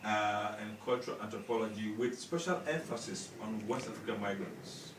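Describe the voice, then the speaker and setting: A man's voice: on the low side, moderate pace, roomy sound, a little hiss or hum, poor audio. A man hosting a radio interview, reading an introduction with many hesitations and fillers. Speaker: radio show host.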